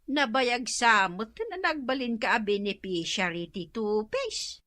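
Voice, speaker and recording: A woman's voice: fairly high pitch, a little slow, no echo, studio quality. A woman with a Filipino accent playing a gossipy neighbor in a comedic radio drama. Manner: gossipy, lively.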